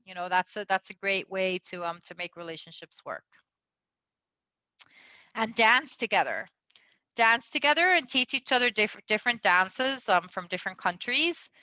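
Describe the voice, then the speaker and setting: Bright chatty woman coaching on relationships. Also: medium pitch, lively intonation, normal speed, no echo, poor audio. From Venezuela. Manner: chatty, lots of fillers, laughs often. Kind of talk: casual advice talk.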